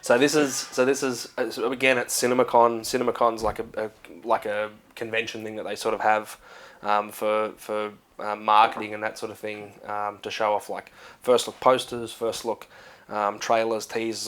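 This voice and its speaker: Rambling Australian man, low voice. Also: completely flat delivery, normal speed, fairly bright tone. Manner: rambling, lots of fillers and restarts. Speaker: co-host. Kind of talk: casual movie chat.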